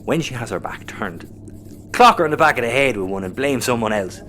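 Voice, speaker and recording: A man's voice: mid-range voice, expressive, a little fast, fairly bright tone, a little hiss or hum, close to an everyday voice. A man playing a scheming, sarcastic Irish spacer and protective brother in a sci-fi audio comedy. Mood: scheming, animated.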